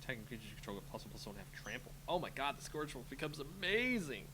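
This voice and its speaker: A man's voice: medium pitch, sing-song, fast, noisy background. A man giving casual live commentary on a game he is playing, talking to himself playfully as he thinks. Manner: muttering to himself, self-talking, playful sing-song filler. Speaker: host of a card game channel, playing.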